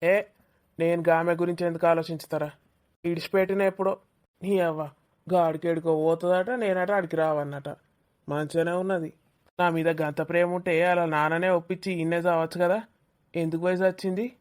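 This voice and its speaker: A woman's voice: fairly low pitch, fairly even intonation, fairly quick, a little mellow, close to an everyday voice. A woman voicing a grumpy, lovelorn young male student in a cartoon village comedy, with a Telangana accent and a complaining, bickering manner. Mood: irritated.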